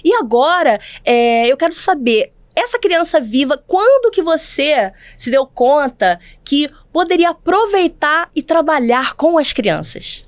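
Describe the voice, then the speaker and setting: A woman hosting a podcast from a studio, speaking with bright enthusiasm and exclamations. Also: high voice, big pitch swings, unhurried, hardly any pauses, no room sound. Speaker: podcast host. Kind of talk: podcast interview. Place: studio in Rio de Janeiro.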